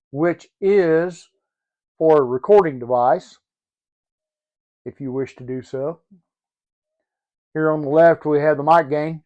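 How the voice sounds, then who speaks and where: Guy showing off gear, slow and matter of fact, bit higher voice, kinda dull audio. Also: a little mellow. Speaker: presenter showing a used CB radio. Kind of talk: informal product demonstration.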